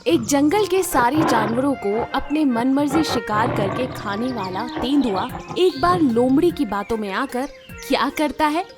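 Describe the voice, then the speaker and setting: A woman's voice: high-pitched, animated, a little slow, music in the background. A woman giving scripted narration of a children's story.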